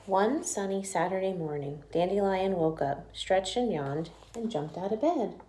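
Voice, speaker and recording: A woman reads a picture book to children in an animated teacherly style, dropping in chatty asides. Her voice is mid-pitched and echoes in the room. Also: measured pace, noisy background. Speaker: teacher reading aloud to children. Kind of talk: expressive picture-book read-aloud.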